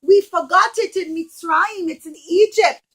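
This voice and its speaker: A woman teaching young children a religious story in an expressive way, acting out the dialogue and putting questions to her listeners. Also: very high voice, unhurried. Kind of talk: storytelling puppet show lesson for young children.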